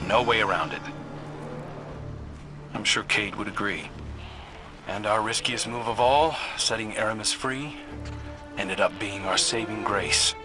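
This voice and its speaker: An easygoing sci-fi hunter commander played by a man talks in a fairly low voice over clear background music. He sounds earnest and reflective, like a conversational voice message in a video game. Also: natural voice.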